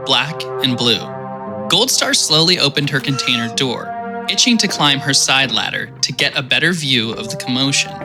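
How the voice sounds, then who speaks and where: A man hosting and narrating a scripted audio drama, telling the story in a clear storytelling delivery. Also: mid-range voice. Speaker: host and narrator. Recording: faint music behind.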